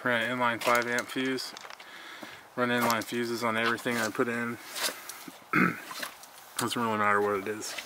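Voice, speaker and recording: A man's voice: mid-range voice, flat delivery, moderate pace, pauses often, background noise. An American man giving an informal, laid-back walk-through for a casual vlog, recorded handheld outdoors. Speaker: vlogger, mobile welder.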